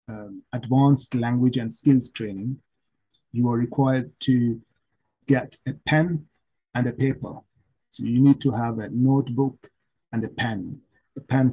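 A middle-aged man from Pakistan with a Pakistani accent, a native Urdu speaker, gives an online English lesson from a home room, explaining slowly and repeating himself. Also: mid-range voice, dark tone, breathy edge, rough recording. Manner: slow, repetitive explaining with many pauses. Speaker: English teacher. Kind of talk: online lecture to camera. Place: home room with plants and shelves.

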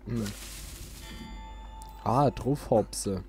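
A man's voice: medium pitch, extremely slow, a little mellow. Man thinking aloud over game music. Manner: thinks aloud, playful interjections like "zack" and "hopp". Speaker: let's player, channel host. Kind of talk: casual let's play commentary. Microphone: close desk microphone.

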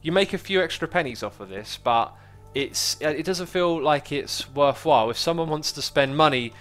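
A man's voice: a little high, animated, normal speed, a bit bright, soft background music. A man with a British accent, chatting casually as a livestreamer in a friendly, rambling, anecdotal way.

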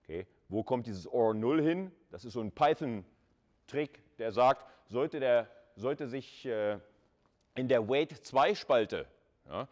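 A man's voice: on the low side, a little mellow. A man lecturing at university in a conversational way, repeating words for emphasis and adding short checking tags. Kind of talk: informal screen-recorded lecture with live coding and slides.

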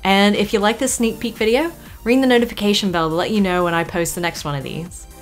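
A woman's voice: mid-range voice, quick pace, soft background music. A woman hosting a book preview channel, reading a scripted voice-over.

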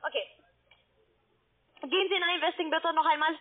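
A woman with a foreign accent speaks as a call centre agent. Her voice is high-pitched. It comes through a narrow, tinny telephone line. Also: fairly even intonation, moderate pace, slight background noise. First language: not German. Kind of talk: phone sales conversation.

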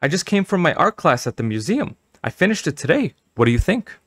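A man voicing an energetic, insistent middle-aged mother in a storybook, with a brisk, emphatic manner. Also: a little high, no echo, studio quality, pitched above the performer's own voice. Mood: proud.

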